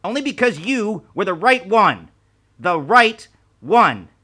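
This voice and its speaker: A man's voice: a little high, expressive, brisk, a bit bright, voice pushed up. A man voicing an elderly male spymaster, precise and anxious, speaking urgently. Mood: grim. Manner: urgent, pleading.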